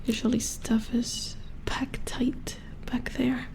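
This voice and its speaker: A calm, methodical robot engineer played by a woman whispers close to the mic in an ASMR roleplay. Her voice is fairly high with a slight creak, and she murmurs slowly, as if absorbed in delicate work. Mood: calm, focused. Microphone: close ASMR microphone.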